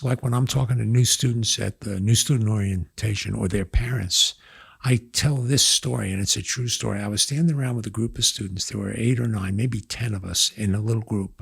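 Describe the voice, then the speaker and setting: An older man, hoarse, telling stories in a relaxed podcast interview with many pauses and fillers. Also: lowish voice, no echo, clean studio sound. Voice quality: gravelly, husky. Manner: reflective storyteller, halting with fillers. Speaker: university president.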